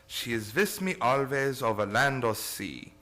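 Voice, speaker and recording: An actor playing an urgent, commanding vampire-hunting doctor in a radio drama, with formal, insistent phrasing. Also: male voice, medium pitch, expressive, a little slow, bright, forceful tone. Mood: resolute. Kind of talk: old-time radio drama acting.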